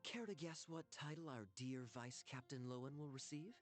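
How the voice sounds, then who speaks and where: An actor playing a suave, teasing knight captain with a sly, courtly manner in a video game. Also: male voice, mid-range voice, moderate pace, faint music behind, natural voice.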